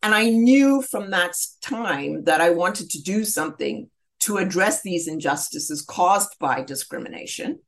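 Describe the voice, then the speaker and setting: Lower-voiced woman, reflective guest. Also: lively intonation, measured pace, few pauses. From southern Africa, grew up in Zambia. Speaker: interview guest, human rights lawyer. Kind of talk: personal interview storytelling.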